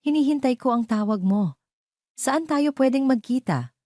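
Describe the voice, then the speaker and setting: A woman with a Filipino accent voicing a calm, professional woman mentor in an audio story, measured and instructive. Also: high voice, dry recording, studio quality, voice pushed up. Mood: calm, gentle.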